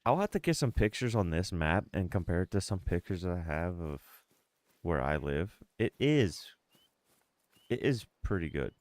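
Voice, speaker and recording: A man's voice: low voice, big pitch swings, normal speed, a little mellow, no echo. A congested, sniffly man in his mid thirties chatting casually as he livestreams a game, close on the mic. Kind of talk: casual livestream chat with gameplay commentary. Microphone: close streaming microphone.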